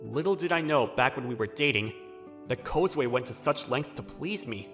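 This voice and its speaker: Man acting a bright-voiced, flustered jilted bachelor. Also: mid-range voice, lively intonation, normal speed. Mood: reflective narration. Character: flustered jilted bachelor narrating his awkward romance. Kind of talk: manga dub narration and dialogue. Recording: background music under the voice.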